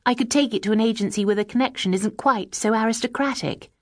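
A lively, proud young woman, as a woman reader puts her on, speaks eagerly and with spirit. Her voice sits a little higher than the narration. The recording is dry and close. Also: quick pace, no echo, lightly acted.

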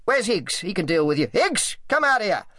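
A man voicing a short, stout, irritable shipping agent in an audiobook, barking in rough, impatient colloquial speech. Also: high voice, sing-song, normal speed, sharp tone, no echo, studio quality, pitched above the performer's own voice, full character voice. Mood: fierce, irritable. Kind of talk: audiobook character acting.

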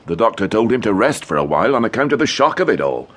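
A village police sergeant in a radio detective drama. The voice is low and rough-edged, rising and falling freely. He is chatty and respectful to his superior, with a grim cheerfulness. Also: big pitch swings, quick pace, fairly bright tone. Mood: helpful, amused. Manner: chatty, deferential, full of sirs.

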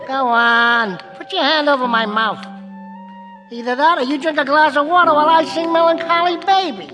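Shrill, brash ventriloquist's dummy. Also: male voice, normal speed, bright, forceful tone, faint music behind. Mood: taunting. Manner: brassy, taunting vaudeville patter. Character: brash wisecracking ventriloquist's dummy named Willie, small and cocky. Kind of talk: radio drama comedy patter.

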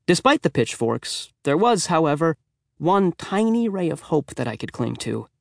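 Male audiobook narrator, lightly high voice, wry and chatty, youthful storytelling for kids, dry close sound. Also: very animated, normal speed, vocal fry, dry recording. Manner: wry, conversational, youthful first-person delivery. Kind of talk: expressive scripted narration of a children's book.

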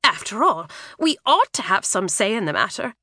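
A woman's voice: mid-range voice, big pitch swings, moderate pace, pushed and bright, no room sound, clean studio sound, pitched above the performer's own voice. A woman voicing a sulky, hot-tempered teenage schoolgirl with a petulant, pleading manner. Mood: furious.

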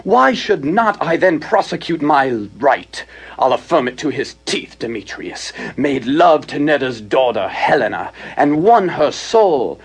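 A man's voice: fairly high pitch, sing-song, unhurried, slightly rough, full character voice. An actor playing an ardent young Athenian nobleman in love, speaking Shakespearean verse earnestly in an audio theater production. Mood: proud, defiant. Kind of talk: full-cast Shakespearean audio theater acting.

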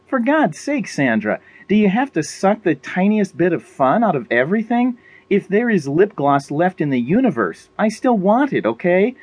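Guy doing a perky young woman in an audiobook, kinda exasperated n pleading, higher voice. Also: very animated, normal speed, slightly rough, no echo, full character voice. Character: perky young woman survivor craving lip gloss, exasperated.

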